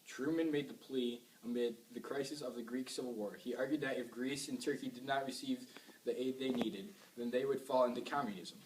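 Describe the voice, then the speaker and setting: A man's voice: mid-range voice, steady tone, brisk, darkish tone. A young man reading a scripted report as co-presenter of an amateur newscast. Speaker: news co-presenter in a history project. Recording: echoey, distant mic, faint noise.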